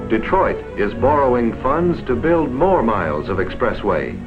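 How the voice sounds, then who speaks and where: A man's voice: medium pitch, very animated, a little slow, lo-fi sound, over music. An American man giving scripted 1950s promotional film narration in an emphatic, urging, declamatory manner. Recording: vintage film sound, very echoey, reverberant.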